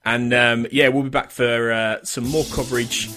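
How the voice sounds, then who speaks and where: A man's voice: mid-range voice, flat delivery, normal speed, sharp tone, faint music behind. A man hosting a casual interview in an effusive, hesitant way, often repeating his phrases. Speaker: podcast host.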